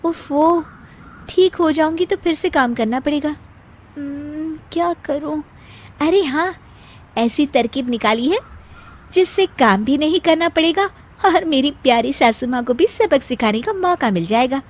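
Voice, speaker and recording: A woman's voice: high voice, expressive, measured pace, pitched above the performer's own voice. A woman voicing a sly, lazy young daughter-in-law muttering her schemes in a cartoon story. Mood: scheming. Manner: scheming, self-satisfied asides.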